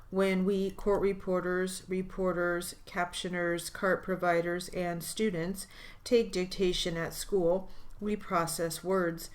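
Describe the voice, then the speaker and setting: A woman reading literary passages aloud as steno dictation practice, in a deliberate, measured way. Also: fairly low pitch. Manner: deliberate, evenly paced reading with pauses.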